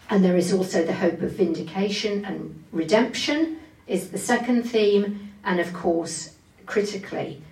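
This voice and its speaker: A woman's voice: on the low side, darkish tone. Woman preaching in an echoey room, distantly miked. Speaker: preacher giving a Bible talk. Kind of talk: expository sermon on scripture.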